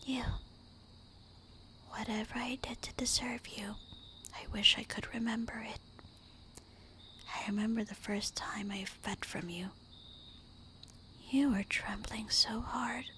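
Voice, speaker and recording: A woman's voice: fairly high pitch, fairly even intonation, takes it slowly, long pauses, bright, forceful tone, a little hiss or hum. A woman playing a loving, melancholy vampire wife in a tender, intimate whispered ASMR roleplay monologue. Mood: loving, devoted. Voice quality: breathy, unvoiced whisper.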